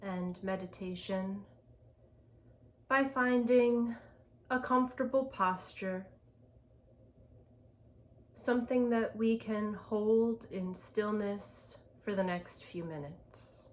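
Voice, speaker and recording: Slow-paced woman guiding a meditation. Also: medium pitch. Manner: gentle, unhurried, spacious phrasing with long pauses. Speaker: guided meditation leader. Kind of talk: guided meditation for worship. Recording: clear background ambient noise.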